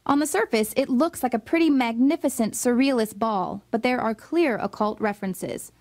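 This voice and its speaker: A woman with a fairly high voice reads a scripted voice-over. Her delivery is dramatic and insinuating. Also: normal speed, darkish tone. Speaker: narrator. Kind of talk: scripted narration.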